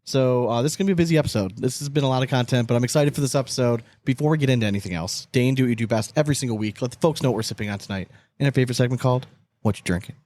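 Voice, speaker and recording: A man's voice: medium pitch, racing, a little mellow. An American man co-hosting a casual sports podcast, chatting informally with loose, profane banter. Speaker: podcast co-host.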